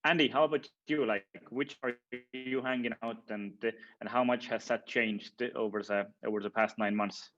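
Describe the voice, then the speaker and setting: Guy hosting a panel, average pitch, casual and halting, slightly lo-fi mic. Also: fairly even intonation, a bit bright. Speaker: panel moderator. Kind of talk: casual questioning.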